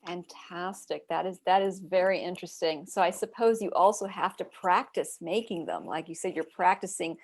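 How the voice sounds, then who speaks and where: A woman's voice: on the low side, normal speed, few pauses, slightly rough. A woman moderating audience questions in a friendly, orderly way.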